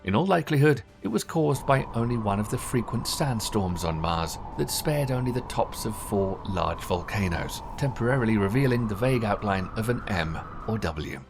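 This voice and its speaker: A man reading scripted documentary narration in a measured, formal way. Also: on the low side, expressive, gravelly, music in the background.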